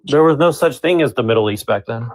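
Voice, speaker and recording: A man's voice: mid-range voice, expressive, fast talker, a little hiss or hum, poor audio. A man calling into a live stream, questioning earnestly and hesitantly, often repeating his words. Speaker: caller. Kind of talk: earnest argument on a live call.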